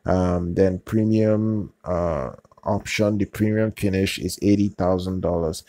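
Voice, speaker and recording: A man hosting his own channel, giving casual product news in a halting way, with many fillers, restarts and repeated phrases. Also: low-pitched, steady tone, warm tone, no room sound. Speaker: channel host.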